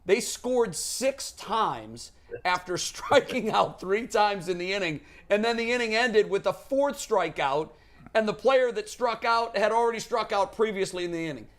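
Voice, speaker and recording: A man's voice: high-pitched, animated, normal speed, a bit bright. An American man on a sports show panel, leading up to interview questions conversationally.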